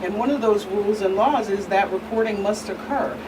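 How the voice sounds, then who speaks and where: A woman speaking as an expert guest on a panel, deliberate and emphatic, repeating phrases for stress. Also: mid-range voice. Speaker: guest expert, attorney and pastor. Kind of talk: panel discussion, earnest explanation. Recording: distant mic, clearly noisy room hum.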